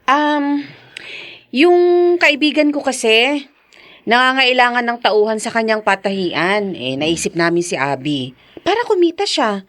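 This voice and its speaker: A woman's voice: fairly high pitch, expressive, unhurried, a bit bright. An actress playing a caring, gossipy neighbour woman in a radio drama, speaking expressively and coaxingly. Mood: friendly, coaxing. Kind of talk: Tagalog radio drama acting.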